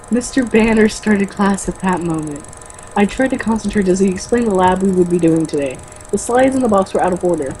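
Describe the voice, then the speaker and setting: A woman's voice: mid-range voice, dark tone, slight background noise, rough recording. Sarcastic woman reading a book aloud mockingly. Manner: sarcastic, exasperated asides. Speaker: reader and co-host of a book-mocking show. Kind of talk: mocking read-aloud with ad-libbed comments.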